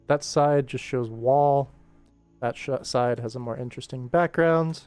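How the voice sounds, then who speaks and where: A man with a medium-pitched voice talks casually on a work livestream. He explains his process and thinks aloud as a director, while music plays faintly behind him. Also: unhurried, darkish tone, no room sound.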